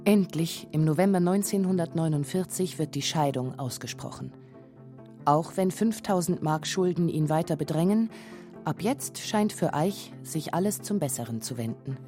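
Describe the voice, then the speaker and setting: A woman's voice: deep voice, normal speed, warm tone, soft background music. A woman narrating a documentary feature from a script.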